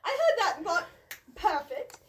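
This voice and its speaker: A woman's voice: very high-pitched, very animated, normal speed, far from the mic, noisy background, voice pushed up. A woman voicing a whiny, rambling, naively earnest half-elf man in a comic fantasy reading. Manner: chatty, whining, naively earnest.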